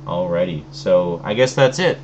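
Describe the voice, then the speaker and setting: A man's voice: low voice, moderate pace, highs cut off, a little hiss or hum. A man casually chatting as he shows off his latest film discs, with plenty of ums and restarts, a little phlegmy from a cold. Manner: relaxed, rambling, many fillers. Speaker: video host. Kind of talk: casual unboxing chat.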